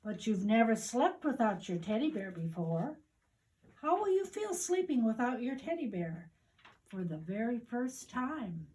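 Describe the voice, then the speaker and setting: An older woman puts on a needling storybook sister with a pointed, taunting way of talking. The voice is raised and high, and each jab comes out slowly. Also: very animated, darkish tone, rough recording. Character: teasing, needling sister of a small boy.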